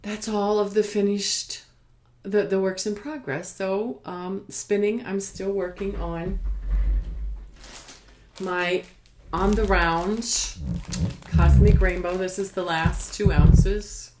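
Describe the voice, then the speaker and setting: A woman hosting a casual craft podcast, rambling haltingly and sometimes trailing off as if talking to herself. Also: mid-range voice, steady tone, a little mellow, a little hiss or hum. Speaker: podcast host showing knitting and spinning.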